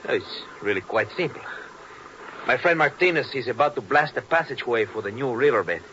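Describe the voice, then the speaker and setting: Formal inspector, muffled radio. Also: male voice, medium pitch, lively intonation, fairly quick, bright, forceful tone. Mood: explanatory. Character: formal, accusing male Latin government inspector. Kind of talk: 1950s radio drama acting. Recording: noisy muffled 1950s radio recording.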